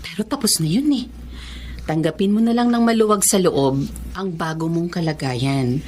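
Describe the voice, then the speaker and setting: A woman's voice: lowish voice, moderate pace, a little mellow, close to an everyday voice. A woman with a Filipino accent playing a caring, encouraging teacher of blind students in a radio drama, motherly and reassuring.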